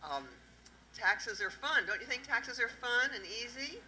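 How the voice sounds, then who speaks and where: A middle-aged woman with a Southern US accent, a tax accountant giving a lively talk at an indoor event and quizzing the audience through a handheld microphone. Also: on the high side, a little slow, slight background noise, poor audio. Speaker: tax accountant presenting at a panel. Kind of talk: interactive talk to a live audience.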